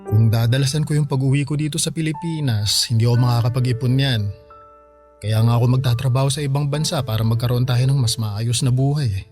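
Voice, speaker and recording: A man playing a gentle, reassuring uncle in a Filipino radio drama. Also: medium pitch, fast talker, faint music behind, close to an everyday voice. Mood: gently regretful. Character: gentle, reassuring uncle leaving to work abroad.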